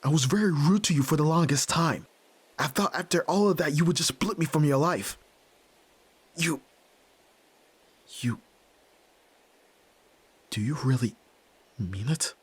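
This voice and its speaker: A man's voice: fairly high pitch, animated, measured pace, pauses often, breathy edge. A man playing a flustered young nerd, reluctant son of a crime boss, in an audio drama, stammering and emotional. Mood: flustered disbelief. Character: flustered young tsundere nerd, desperate Yakuza heir son. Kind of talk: ASMR roleplay audio drama acting.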